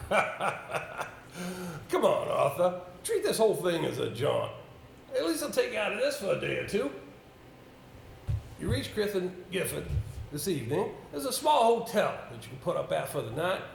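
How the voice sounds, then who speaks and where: Casting: man as formal, doubtful gentleman solicitor for amateur stage drama, higher-pitched and animated, halting delivery. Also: creaky, heavily acted. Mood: avuncular, brisk. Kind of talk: amateur stage play acting. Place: indoor theatre stage. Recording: echoey stage recording with background hiss.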